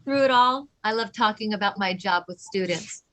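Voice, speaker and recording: A woman's voice: mid-range voice, moderate pace, fairly bright tone. A woman reading a children's picture book aloud, with teacherly emphasis for young listeners. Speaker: read-along co-reader.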